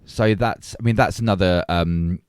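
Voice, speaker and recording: Very deep-voiced man talking film casually. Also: moderate pace, smooth, no echo, clean studio sound. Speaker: podcast co-host.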